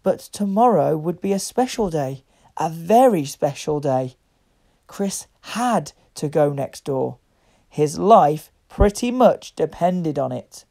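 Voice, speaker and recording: A woman reading a picture book aloud to young children, with deliberate, expressive phrasing. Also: low-pitched, gravelly. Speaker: children's story reader.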